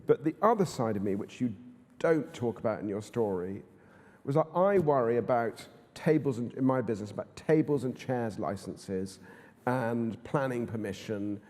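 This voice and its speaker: Mellow-voiced man, halting interviewer. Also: mid-range voice. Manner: hesitant, many fillers and restarts. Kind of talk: onstage conversation. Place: conference hall. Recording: reverberant hall.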